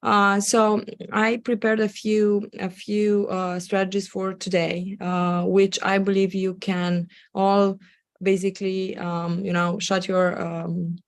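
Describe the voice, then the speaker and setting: A woman from Romania with a Romanian accent, giving a live webinar presentation over a video call while fighting a cold, her voice slightly squeaky and full of ums and restarts. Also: little pitch movement, slow pace, no room sound. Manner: hesitant, many ums and restarts. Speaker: guest expert presenter.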